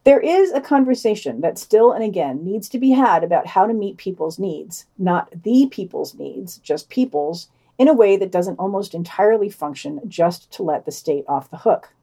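Mellow-voiced woman reading news with dry asides. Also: mid-range voice, animated, normal speed, few pauses, breathy edge. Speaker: podcast news reader. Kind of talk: scripted news digest with wry commentary.

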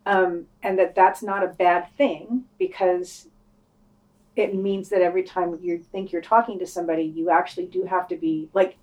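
Casting: woman, lowish voice, opinionated podcast panelist, loose conversational delivery with casual swearing. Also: flat delivery, moderate pace, muffled recording. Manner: opinionated, informal, frequent swearing. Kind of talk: casual discussion.

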